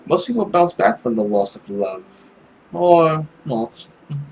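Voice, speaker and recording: A man voicing a smug, scornful nobleman villain in a visual novel, drawling and sarcastic with theatrical pauses. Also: fairly high pitch, very animated, gravelly, faint music behind, voice pushed down, heavily acted. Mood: smug, indifferent. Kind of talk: visual novel character acting.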